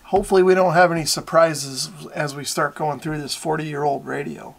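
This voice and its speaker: A man narrates a hands-on tutorial. His voice sits slightly higher than most men's. He explains each step in a plainspoken, methodical way. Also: steady tone, normal speed, gravelly. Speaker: host of a radio repair tutorial.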